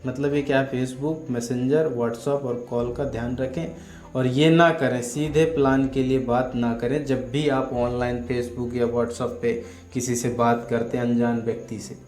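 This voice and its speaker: A man's voice: mid-range voice, flat delivery, unhurried, a little mellow. A man giving an instructional talk as a coach, explaining emphatically and repetitively with lists of examples. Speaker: network marketing coach. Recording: roomy echo with music underneath. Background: music.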